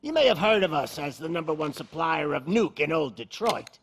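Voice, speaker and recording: A man's voice: on the high side, expressive, normal speed, creaky, fairly bright tone, a little hiss or hum. A man playing a cocky, menacing gang boss in a video game, with a taunting showman's delivery. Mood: mock-announcer swagger. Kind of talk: video game villain acting.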